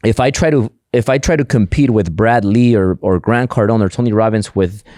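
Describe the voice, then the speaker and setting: A man in middle age, Spanish his mother tongue, talks with keen drive in a relaxed interview, circling back over his words and restarting his sentences. His voice sits a little low. The sound is close and dry, with no room around it. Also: a little fast, dry recording. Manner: eager, many repeated words and restarts. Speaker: podcast guest, entrepreneur. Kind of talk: casual interview talk.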